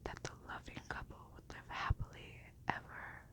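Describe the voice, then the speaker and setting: A woman reading a storybook in a gentle, unhurried, breathy whisper in an ASMR style.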